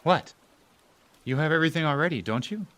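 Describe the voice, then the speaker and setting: A man's voice: on the high side, expressive, brisk, a bit bright. A man playing a bitter, overworked family man with a sardonic manner in an audio drama. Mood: angry, sarcastic. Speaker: actor. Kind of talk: audio drama acting, dialogue and narration to the audience.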